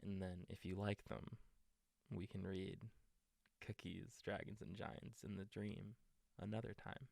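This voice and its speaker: Male storytime reader, bedtime narration for little ones, very slow delivery, close dry sound. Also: lowish voice, steady tone, creaky, darkish tone, dry recording.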